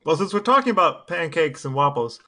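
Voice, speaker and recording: Male co-host, slightly high pitch, bright tone, casual banter. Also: sing-song, brisk. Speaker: podcast co-host. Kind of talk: casual chat.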